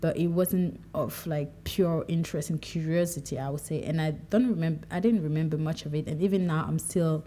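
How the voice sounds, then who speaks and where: A young woman from Cameroon speaking in a relaxed, reflective monologue, livening up when she quotes herself. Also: deep voice, brisk, hardly any pauses. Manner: conversational, animated when quoting herself. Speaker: podcast speaker.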